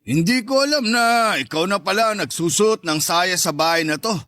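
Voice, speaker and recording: High, bright-voiced, taunting macho ex-husband, acted by a man. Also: expressive, normal speed. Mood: mocking. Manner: taunting, domineering. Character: brash, macho, sneering ex-husband and father. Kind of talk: Tagalog radio-style love story drama.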